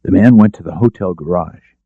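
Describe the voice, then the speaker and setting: A man's voice: low voice, fairly quick, breathy edge, no echo, narrow, tinny audio. A man reading scripted narration of a crime novel as an audiobook narrator.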